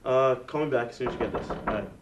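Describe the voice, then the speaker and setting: A man's voice: medium pitch, quick pace, lo-fi sound, natural voice. A young man playing a confused, hungover college student in a student stage skit, bewildered and muttering. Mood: groggy, confused. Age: young adult. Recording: echoey room from far off, hissy.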